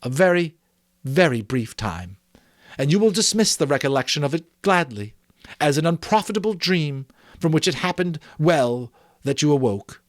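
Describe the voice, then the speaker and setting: A man plays a young Victorian woman in an audiobook, her voice lifted high. She is gentle but wounded, speaking earnestly and deliberately, with wide swells of pitch as she releases her love. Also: animated, no room sound, clean studio sound, natural voice. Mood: resigned, wounded. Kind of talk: dramatic audiobook reading of a Victorian story.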